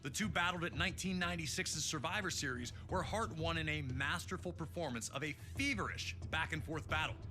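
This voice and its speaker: A man's voice: fairly high pitch, fairly quick, hardly any pauses, bright, forceful tone. A man narrating wrestling history in a dramatic, hyped announcer style, reading from a script. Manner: emphatic, hyped announcer delivery. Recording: music bed under the voice. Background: music.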